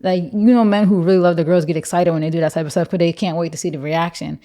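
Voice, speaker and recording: A young woman with a deep voice, co-hosting a casual podcast chat, animated and full of fillers. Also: fast. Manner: animated, lots of "like", mocking voices. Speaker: podcast co-host.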